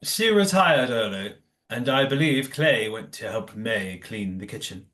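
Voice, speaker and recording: A man's voice: mid-range voice, expressive, measured pace, a bit bright. A man playing a tipsy, genteel manor host in an audio play, speaking in a formal, droll way. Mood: politely informative.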